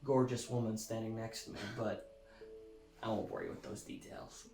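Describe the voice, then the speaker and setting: An actor playing a showy, self-satisfied celebrity musician, delivering a gushing, theatrical sales pitch with dramatic emphasis. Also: male voice, level tone, moderate pace, a little mellow, lightly acted. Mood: breezy salesmanship. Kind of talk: theatrical infomercial-style pitch in an audio drama. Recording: roomy echo, music underneath.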